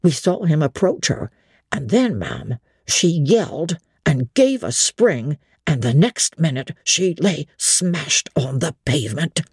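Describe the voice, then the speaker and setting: A woman doing a gruff old country servant. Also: low voice, big pitch swings, takes it slowly, darkish tone, no echo, studio quality, pitched below the performer's own voice, exaggerated voice. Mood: horrified. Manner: dramatic country storyteller, old-fashioned dialect. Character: old rustic male servant, gossipy and grim. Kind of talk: dramatic audiobook reading.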